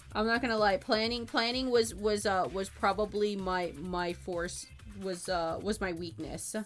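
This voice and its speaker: Bubbly, rambling streamer woman with a bright voice. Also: on the high side. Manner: rambling and bubbly, repeats herself, frequent giggles. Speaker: livestreamer. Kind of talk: casual chat with stream viewers while drawing.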